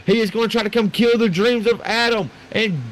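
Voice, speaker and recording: A man giving excited, folksy live play-by-play commentary on a sim race, in an animated and colloquial way. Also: high voice, brisk, rough recording. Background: racing game vehicle noise.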